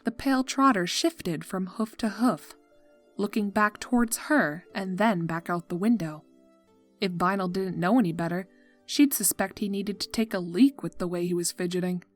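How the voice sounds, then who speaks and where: A woman's voice: mid-range voice, moderate pace. A woman reading scripted story narration for a fan fiction audio drama. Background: music.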